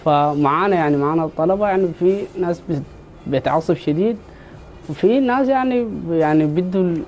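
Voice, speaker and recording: A young man in his twenties with a Sudanese Arabic accent is a studio guest, talking into a lapel mic. His voice is slightly high but mellow, and he talks quickly in an earnest, halting way. Faint noise sits behind him. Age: 20s. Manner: earnest, halting, with filler restarts. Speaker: football fan guest, medical student. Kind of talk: studio interview.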